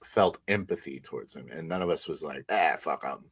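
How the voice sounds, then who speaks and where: A man chatting casually after a game, effusive and slangy, with a husky voice. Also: extremely low pitch, brisk, a bit bright, no echo, studio quality. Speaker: tabletop roleplay player. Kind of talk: casual post-game chat.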